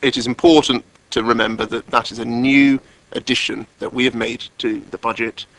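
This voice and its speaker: A man delivering a formal speech as a councillor at a council meeting, in a measured, deliberate way with emphatic repetition. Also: medium pitch, steady tone, creaky, fairly bright tone, rough recording. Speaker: councillor, cabinet member.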